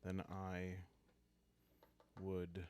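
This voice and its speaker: A man voicing a proud medieval crusader who recounts his life in a solemn, measured way for a sleepy roleplay. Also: sits low, completely flat delivery, darkish tone, clean tone.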